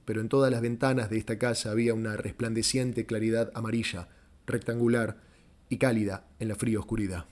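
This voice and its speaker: A man's voice: fairly low pitch, level tone, a little fast, darkish tone. A man narrating a literary short story as an audiobook, in a scripted, dramatic storytelling style.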